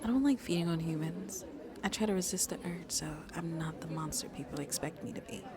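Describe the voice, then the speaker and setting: A woman playing a shy, gentle century-old vampire who seems in her twenties, soft-spoken and hesitant in an ASMR roleplay, often dropping to a near-whisper. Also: fairly low pitch, crowd noise, natural voice. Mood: confiding. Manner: hesitant, apologetic, halting, breathy near-whispers.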